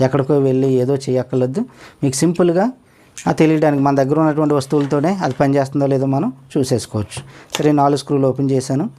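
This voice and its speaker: A man walks viewers through a speaker repair, talking quickly and steadily as he works. His voice is dark and mellow, and he keeps pointing things out step by step. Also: mid-range voice, fairly even intonation. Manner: explains step by step, often asks the viewer to look. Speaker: electronics repair tutor. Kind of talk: hands-on repair tutorial.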